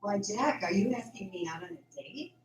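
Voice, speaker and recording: Actress as young farm sweetheart, affectionate and encouraging, very lively intonation, radio play in an echoey room. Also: female voice, mid-range voice, moderate pace, natural voice. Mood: affectionate, playful. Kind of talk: amateur radio drama acting. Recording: echoey room, faint background noise.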